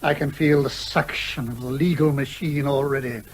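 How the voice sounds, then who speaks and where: An actor playing an exasperated, blustering older British major with old-fashioned turns of phrase, in a radio comedy drama. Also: male voice, medium pitch, fairly even intonation, moderate pace, natural voice. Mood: exasperated, resigned.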